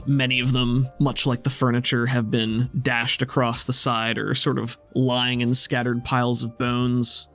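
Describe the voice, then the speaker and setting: A man's voice: mid-range voice, creaky. A man narrating a tabletop roleplaying game as game master, conversational and descriptive.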